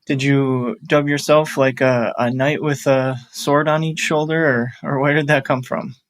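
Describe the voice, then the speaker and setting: A man in his thirties talking things through in a casual chat, pausing and restarting as he thinks. His voice stays level, with little rise or fall. The recording is dry and close. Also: mid-range voice, flat delivery, dry recording. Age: 30s. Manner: thoughtful, rambling, with restarts and filler. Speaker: audio producer.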